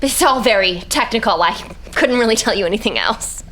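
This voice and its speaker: A woman's voice: fairly high pitch, expressive, brisk, sharp tone. A woman playing the guarded, anxious wife of a missing scientist, hesitant and evasive, in 1940s radio drama style. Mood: guarded, explanatory. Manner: guarded, hesitant when pressed.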